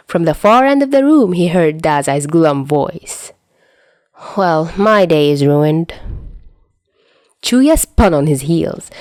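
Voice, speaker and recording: A woman's voice: lowish voice, darkish tone, no room sound. A woman narrating a story expressively, audiobook style, as a podfic reader.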